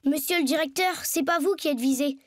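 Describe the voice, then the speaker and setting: A woman's voice: high voice, fairly quick, close to an everyday voice. A woman voicing a self-important, earnest schoolboy detective in a cartoon, speaking in pompous, formal phrases. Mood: determined. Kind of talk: French animated series dubbing.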